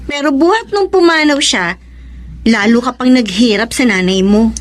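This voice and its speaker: An actress as a high-pitched, outspoken young friend. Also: female voice, expressive, normal speed, natural voice. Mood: concerned, frank. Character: outspoken, concerned young female friend, sympathetic. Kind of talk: Filipino radio drama acting.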